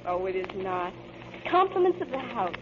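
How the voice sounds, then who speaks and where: An actress playing a hesitant, gently teasing young woman in light banter in a 1940s radio comedy-drama. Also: mid-range voice, very animated, moderate pace, natural voice. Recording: muffled noisy vintage radio broadcast.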